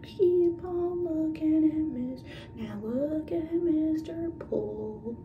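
A woman doing an ASMR roleplay as a clinical examiner, speaking softly, often in whispers, and giving short, playful instructions. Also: sits high, extremely slow. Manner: soft-spoken, often dropping into whispers. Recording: dull lo-fi audio with clear background noise.

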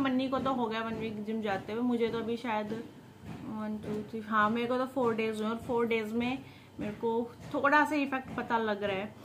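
A woman's voice: a little high, fairly bright tone, slight background noise. A young woman in her twenties chatting to camera in a casual home vlog while cooking. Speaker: vlogger. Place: small home kitchen and living room indoors.

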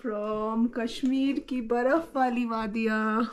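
A woman's voice: a little high, fairly even intonation, takes it slowly, slight background noise. A woman in her thirties chatting casually in a travel vlog, mixing Hindi and English, filmed on a phone held at arm's length. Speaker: travel vlogger. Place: hotel doorway and car.

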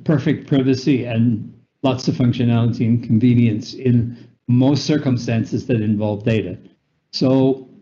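An older man with a North American accent gives an explanatory webinar talk, often pausing on fillers and correcting himself. His voice is mellow and relaxed. The audio has the slightly muffled, lo-fi sound of a video call. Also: medium pitch. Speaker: webinar presenter. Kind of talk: lecture with slides.

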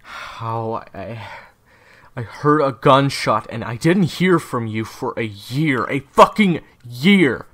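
An actor playing a guarded, short-tempered leader of assassins in an audio drama, terse and weary. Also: male voice, mid-range voice, expressive, slow pace, fairly bright tone. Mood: shocked, defensive.

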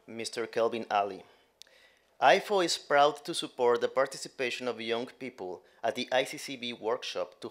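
Man giving a formal welcome speech. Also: medium pitch. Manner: formal, reading a script. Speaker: regional representative, opening speaker. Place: conference room with audience. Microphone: podium mic.

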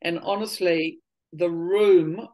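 Guest, fifties woman, low voice, earnest, circling thoughts, soft trailing phrases on a video call. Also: fairly even intonation, slow pace. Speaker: voice and healing workshop leader. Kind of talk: casual spiritual conversation. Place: home living room.

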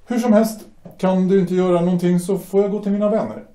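A man's voice: high voice, steady tone, mellow, close to an everyday voice. An actor playing a blunt sailor returned home who questions his brother pointedly. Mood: challenging. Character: blunt male sailor back home, left-leaning brother. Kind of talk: amateur audio drama acting. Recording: echoey room.

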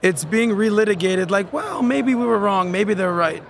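A man in his forties hosting a podcast, firing quick questions in casual chat at a convention booth on a desk mic. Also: high-pitched, vocal fry. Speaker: podcast host. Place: convention booth in a large indoor hall.

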